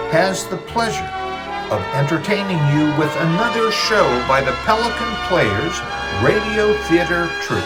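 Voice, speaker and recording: A man's voice: high-pitched, rough recording. A man giving a scripted, formal introduction as announcer for a theater show.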